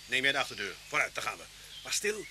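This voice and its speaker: An actor in an old radio play playing a brave police inspector who gives clipped, decisive orders. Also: male voice, medium pitch, big pitch swings, fast, close to an everyday voice. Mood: tense, commanding. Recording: slightly noisy old radio drama.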